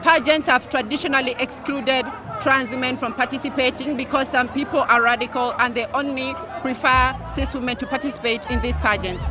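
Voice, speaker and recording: A woman's voice: high voice, fairly even intonation, a little fast, hardly any pauses. A woman from Uganda speaking fluently and passionately as an activist in an outdoor interview. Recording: outdoor crowd and music behind. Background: crowd and music.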